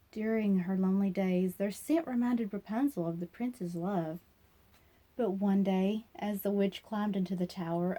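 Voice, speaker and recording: Lady reading a fairy tale to kids, mellow relaxed voice, expressive storyteller. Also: medium pitch. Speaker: storyteller reading children's books aloud. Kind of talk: expressive scripted storybook narration.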